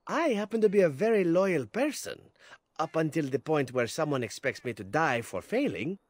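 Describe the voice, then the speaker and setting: Husky, lively, sly elf assassin. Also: male voice, on the high side, normal speed, no echo. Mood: earnest, wry. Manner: smooth-talking, playful, formal phrasing. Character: suave, sly male elf assassin, candid and wry.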